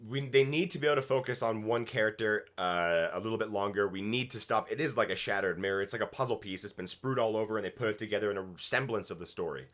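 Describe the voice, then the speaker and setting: A man's voice: on the low side, fast, few pauses, fairly bright tone. A man co-hosting a casual reaction discussion, blunt and complaining, with salty language. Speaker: co-host of a TV review show.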